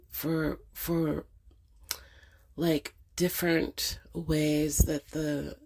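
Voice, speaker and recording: Slow, husky woman with a head cold. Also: low voice, mellow. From Canada. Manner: slow, hesitant, many ums and pauses. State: congested with a cold. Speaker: channel host. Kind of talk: casual reflective monologue.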